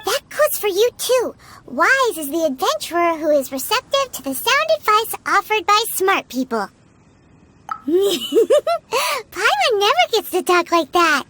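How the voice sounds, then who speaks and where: A woman voicing a tiny, childlike, cheeky fairy companion in a video game, with a squeaky voice. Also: very animated, measured pace, pushed and bright, full character voice. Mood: smug. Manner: refers to self in third person, squeaky. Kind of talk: video game character acting.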